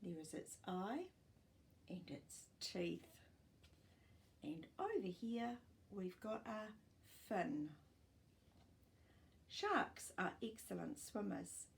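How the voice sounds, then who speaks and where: An older woman hosting a children's storytime, reading non-fiction aloud with expressive, clear phrasing and explanatory asides for young listeners. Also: medium pitch, very slow, long pauses. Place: small furnished living room, indoors.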